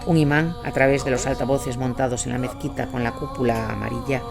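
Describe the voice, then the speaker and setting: A man's voice: mid-range voice, fairly even intonation, brisk, gravelly. Male war-story narrator over music. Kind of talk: scripted first-person war narration.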